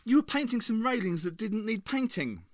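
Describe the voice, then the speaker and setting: A man reading aloud and voicing a quick, defiant young woman who talks fast and urgently. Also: high-pitched, big pitch swings, a bit bright, no room sound, studio quality, voice pushed up. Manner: urgent, persuasive.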